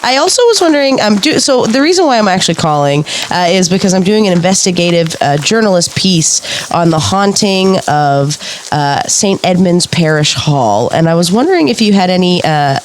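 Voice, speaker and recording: Earnest, hesitant woman journalist, lowish voice acted. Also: animated, moderate pace, a little hiss or hum, close to an everyday voice. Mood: friendly, hesitant. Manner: hesitant, friendly, eager. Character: earnest, polite woman investigative journalist probing a haunting. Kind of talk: naturalistic audio play dialogue.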